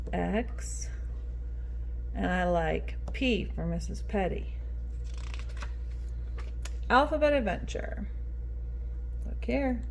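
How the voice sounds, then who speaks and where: Animated woman reading aloud to small children. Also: on the low side, creaky, a little hiss or hum. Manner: teacherly, addressing small children, prompting them with questions. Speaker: children's read-aloud storyteller. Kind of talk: expressive picture book read-aloud with questions to young listeners.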